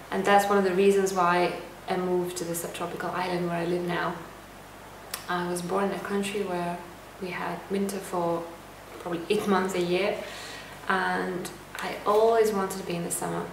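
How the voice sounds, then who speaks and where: A young woman from Lithuania vlogging a casual to-camera Q&A at home, calm and reflective as she reads out each question and answers it. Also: on the low side, creaky, slight background noise. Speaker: lifestyle vlogger. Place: indoor room at home. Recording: echoey room, voice a bit distant.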